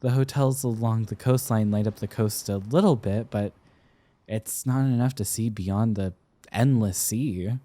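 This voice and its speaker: Casting: male actor as smitten young boyfriend for roleplay ASMR, mellow and unhurried, playful, tender, slightly halting. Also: fairly low pitch, dry recording, lightly acted. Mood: wistful. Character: affectionate, teasing young man smitten with an old friend. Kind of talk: intimate roleplay ASMR acting.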